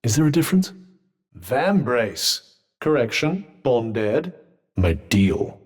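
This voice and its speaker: A man's voice: mid-range voice, very animated, slow pace, slightly rough, big-room sound, full character voice. A man with a British accent playing a sardonic, pompous magical talking vambrace in a video game, speaking in formal, wordy phrases with dry wit. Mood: haughty, pedantic. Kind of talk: video game character dialogue.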